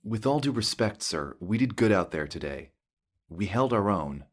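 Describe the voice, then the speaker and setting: A respectful teen hero, acted by a man in an audio drama. He speaks earnestly in a fairly low voice. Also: big pitch swings, moderate pace.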